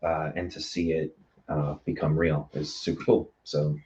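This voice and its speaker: A man with a low voice talks casually as a guest on a show. He sounds reflective and appreciative, and the recording is slightly dull and lo-fi. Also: level tone, measured pace, a little mellow. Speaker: guest, theater marketing director. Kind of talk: casual interview talk.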